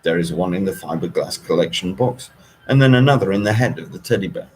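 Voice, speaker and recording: A man reading a story aloud in an expressive audiobook style. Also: sits low, quick pace, slight background noise. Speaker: author reading own novel.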